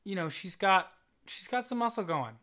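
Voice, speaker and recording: A young man with a breathy voice, a voice teacher chatting casually on a couch and rambling through his points. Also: sits high, animated, normal speed, bright, forceful tone. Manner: rambling, restarts, conversational. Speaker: voice teacher and reaction video co-host. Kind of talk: casual analytical chat. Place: living room studio, seated on a couch.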